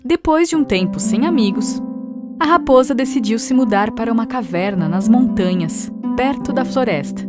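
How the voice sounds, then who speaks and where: A woman's voice: a little high, lively intonation, normal speed, over loud music. A woman with a Brazilian accent narrating a scripted children's fable as a storyteller.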